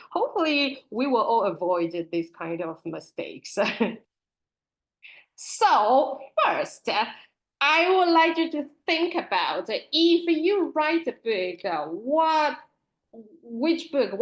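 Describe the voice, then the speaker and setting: A woman in her forties from Taiwan, presenting as a teacher librarian and self-published author in a video-call webinar. She talks candidly and earnestly, with soft nervous laughs between phrases. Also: a little high, sing-song, slow pace, low-quality recording.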